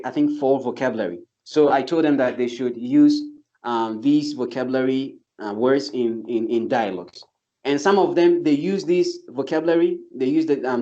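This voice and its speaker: Male English teacher, casual explainer, middle pitch, a bit lo-fi. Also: darkish tone. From not from Turkey. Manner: earnest, explains step by step with many restarts. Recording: slightly dull lo-fi sound.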